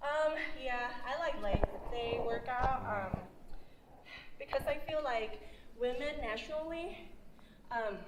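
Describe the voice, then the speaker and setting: Higher-voiced Thai woman, halting delivery. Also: background noise. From Thailand. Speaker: fitness athlete being interviewed. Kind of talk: casual explanation.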